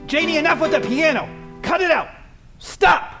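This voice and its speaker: Stammering, frazzled family man played by a man, animated. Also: extremely high pitch, over music. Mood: irritated, angry. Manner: stammering, halting, agitated. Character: frazzled, desperate family man with a short temper. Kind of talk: amateur radio drama acting.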